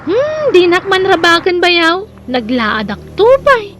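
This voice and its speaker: A woman's voice: very high voice, huge pitch swings, takes it slowly, a bit bright, a little hiss or hum, heavily acted. A woman acting a friendly, bashful single businesswoman in an audio drama, with an Ilocano Filipino accent. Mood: bashful, teasing. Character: Pearly, single businesswoman, friendly bashful younger sister-in-law.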